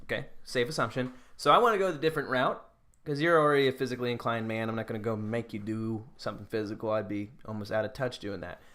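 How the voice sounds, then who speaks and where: A man's voice: mid-range voice, fairly even intonation, quick pace, fairly bright tone. A man co-hosting a podcast in casual banter, easygoing and self-deprecating as he talks. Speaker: podcast co-host. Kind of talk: casual banter between friends.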